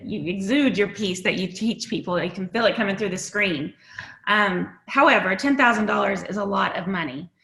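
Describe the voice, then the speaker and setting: Woman, co-host, bright voice, mid pitch, conversational interviewing, echoing video call. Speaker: show co-host. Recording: roomy, echoing sound.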